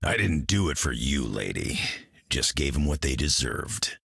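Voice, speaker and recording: Gruff, defiant game brawler, husky growl, blunt and weary, dry sound. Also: male voice, a bass voice, big pitch swings, unhurried, fairly bright tone, no room sound, exaggerated voice. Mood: gruff defiance. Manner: blunt, folksy tough talk. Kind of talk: video game voice acting.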